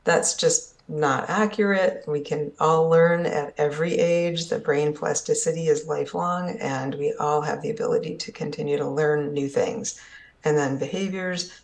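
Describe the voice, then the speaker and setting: A presenter at a conference session speaks in an earnest, explanatory way. The voice has a gravelly, fry-tinged edge and sits at a middle pitch. Points often close with a quick "right?" to the audience. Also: steady tone. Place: summit session at an events complex.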